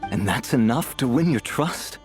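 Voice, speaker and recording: An actor playing a dying, destitute old thief in a video game, with a weak, breathy voice and a halting, resigned, wry manner. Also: male voice, medium pitch, expressive, soft background music, lightly acted. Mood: amused, weak. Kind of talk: dramatic video game voice acting.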